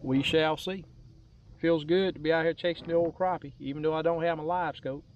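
A middle-aged man giving casual, unhurried, rambling fishing commentary in a folksy way, outdoors on a boat. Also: a little high, fairly even intonation, lo-fi sound, a little hiss or hum. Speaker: angler hosting a fishing vlog. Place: open boat on a lake, outdoors.

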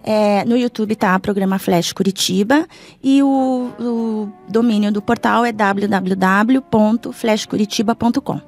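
A woman's voice: medium pitch, fairly even intonation, measured pace, a little mellow. A middle-aged woman, chatting as a guest in a radio interview. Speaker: interview guest, blogger and video presenter. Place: small foam-padded radio studio. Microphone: studio microphone. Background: faint music.

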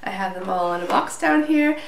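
A woman's voice: medium pitch, animated, a little fast, creaky. Woman in her thirties, nasal with a cold, chatty review vlog, roomy and distant mic. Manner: chatty, with fillers and small laughs. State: a little out of breath. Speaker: beauty vlogger. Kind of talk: casual product review to camera. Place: small indoor room, plain wall. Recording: roomy, slightly distant with faint hiss.

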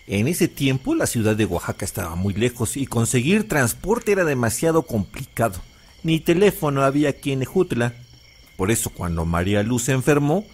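A man's voice: medium pitch, husky. An actor playing an older Mexican man who tells a village legend as a dramatic narrator. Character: older male storyteller telling a local legend to a child. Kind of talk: dramatic storytelling narration, audio fiction.

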